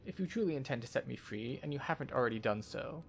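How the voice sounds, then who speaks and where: A man's voice: mid-range voice, lively intonation, quick pace, vocal fry, slight background noise. A man voicing an earnest, questioning enslaved woman in a fantasy video game.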